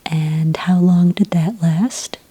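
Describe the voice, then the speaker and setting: A woman speaking in a whispery, breathy soft voice, calm and methodical, as an agent in an ASMR roleplay, close to the microphone. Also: lowish voice, slight background noise. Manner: calm, methodical questioning with gentle pauses. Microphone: close microphone in a dry room.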